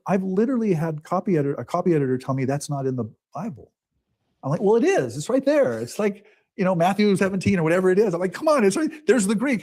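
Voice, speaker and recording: Exasperated male debater, lively rising-and-falling delivery, mellow tone, stacks up rhetorical questions. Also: high-pitched. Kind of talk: heated debate.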